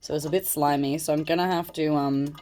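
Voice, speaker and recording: A woman with a low voice, stuffy and snuffly as if coming down with a cold. She chats loosely and rambles, talking fondly to her plants at home. It is captured through a phone camera. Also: steady tone, fairly quick, mellow, a little hiss or hum. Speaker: plant vlogger. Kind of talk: casual chat while handling plants. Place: at home indoors.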